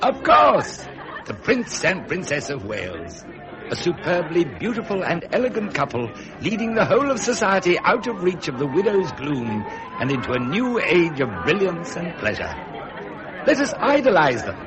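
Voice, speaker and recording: An actor playing a witty, cynical Victorian gentleman in a radio drama, with an upper-class English accent and florid oratory. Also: on the high side, very animated, moderate pace, poor audio. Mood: wry. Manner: florid, orating. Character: witty, cynical Victorian society gentleman, relishing royal glamour. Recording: music and crowd behind.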